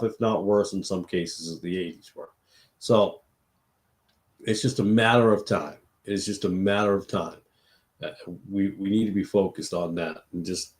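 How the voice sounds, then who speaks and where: Stuffy, tired man with a low voice. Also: darkish tone. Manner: rambling, many restarts and fillers, thinking aloud. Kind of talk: late-night livestream talk on faith.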